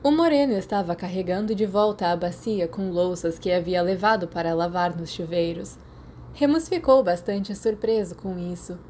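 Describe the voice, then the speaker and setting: A woman's voice: on the low side, moderate pace, darkish tone, a little hiss or hum. A woman with a Brazilian accent reading a story aloud as an audiobook narrator. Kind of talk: scripted fiction narration.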